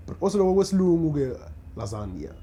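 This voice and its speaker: A young man with a South African accent, a radio producer chatting casually as a podcast guest, animated and quick to laugh, often restarting his sentences. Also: fairly high pitch, a little hiss or hum. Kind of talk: casual interview chat.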